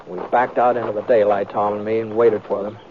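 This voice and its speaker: A man's voice: on the low side, little pitch movement, a little fast, background noise, close to an everyday voice. A man acting a folksy cowboy on tinny radio. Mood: tense storytelling. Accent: American. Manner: folksy, hesitant, plainspoken. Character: easygoing cowboy lawman narrator, plainspoken and folksy. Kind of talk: old-time radio western narration. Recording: tinny vintage radio with music.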